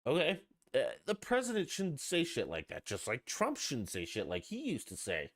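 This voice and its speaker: A man's voice: medium pitch, wildly swooping pitch, normal speed, fairly bright tone. A man hosting a podcast on his own, rambling and ranting with plenty of swearing. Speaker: podcast host. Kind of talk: casual solo podcast chat.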